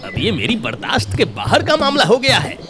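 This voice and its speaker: A man's voice: very high-pitched, wildly swooping pitch, fairly quick, heavily acted. A man voicing a greedy, vengeful shopkeeper uncle in a cartoon, blustering and exaggerated. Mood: indignant. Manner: blustering, exaggerated complaining and hawking. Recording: loud music behind.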